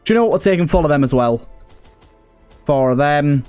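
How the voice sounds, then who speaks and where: Male gaming host, voice a little on the high side, casual running commentary. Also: animated, a little fast, darkish tone, clean tone, faint music behind. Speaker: host of a gaming let's play series.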